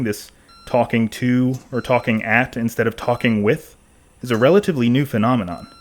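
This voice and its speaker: A man's voice: medium pitch, close to an everyday voice. An actor playing a pensive, rambling male podcast host in a conversational scripted audio monologue.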